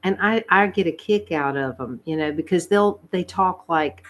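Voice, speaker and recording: Chatty Southern woman with a low voice. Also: moderate pace. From Southern United States. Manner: chatty, laughs often, soft-spoken. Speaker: ASMR channel host. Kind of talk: casual livestream chat.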